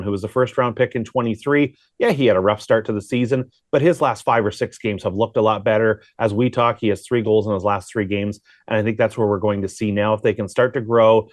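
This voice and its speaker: A man's voice: medium pitch, darkish tone, no echo. A man working as a sports broadcaster, giving informal, talkative, conversational analysis with rambling asides.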